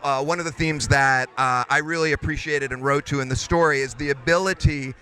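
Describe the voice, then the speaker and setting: A man, a screenwriter, answering interview questions thoughtfully, with frequent ums and pauses. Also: medium pitch, fairly even intonation, sharp tone. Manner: thoughtful, hesitant, many fillers.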